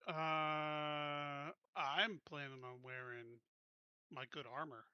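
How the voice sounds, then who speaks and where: A man's voice: on the high side, steady tone, extremely slow, clear, resonant tone, no room sound, dull audio. A man playing a tabletop game, asking questions and making plans in casual chat. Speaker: player.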